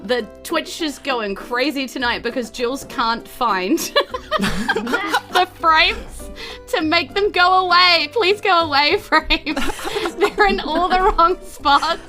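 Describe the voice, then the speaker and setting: A woman with a New Zealand accent, chatting as host and game master of a live tabletop show in a bubbly, expressive way. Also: sits high, slow pace, music in the background.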